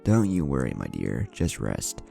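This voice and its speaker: A man's voice: rumbling low, huge pitch swings, a little slow, creaky, warm tone, soft background music, voice pushed down, full character voice. A man voicing a kindly, soothing older woman, a village doctor, in a narrated story. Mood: reassuring. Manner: soothing, motherly. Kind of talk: storybook character dialogue.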